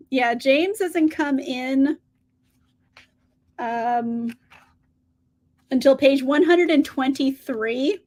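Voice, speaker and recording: Woman with a high, slightly scratchy voice, chatty livestream host answering her viewers. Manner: chatty, upbeat, laughs often. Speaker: book channel host. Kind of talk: casual livestream chat, reading out viewer comments.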